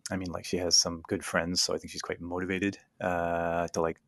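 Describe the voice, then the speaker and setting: Deep-voiced man in his thirties, rambling. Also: monotone, normal speed, vocal fry, fairly bright tone, dry recording, clean studio sound. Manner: rambling, laughs easily. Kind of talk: casual chat.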